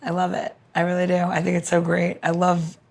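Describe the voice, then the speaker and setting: A woman's voice: on the low side, monotone, brisk, gravelly, a little mellow. Informal, chatty woman podcast co-host. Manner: informal, sweary. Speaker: podcast co-host and cocktail expert. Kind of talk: casual chat.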